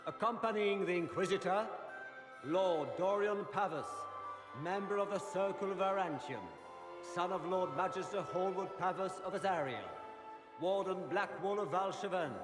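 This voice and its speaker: An actor playing a pompous court herald in a video game, declaiming titles formally in an echoing hall. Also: male voice, high-pitched, moderate pace, natural voice. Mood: ceremonious. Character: pompous male court herald announcing noble guests. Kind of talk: ceremonial announcement in a video game. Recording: echoing hall reverb, music behind.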